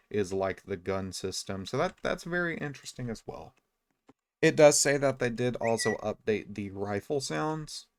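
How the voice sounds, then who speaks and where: A man giving casual, low-key, explanatory video game commentary. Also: gravelly.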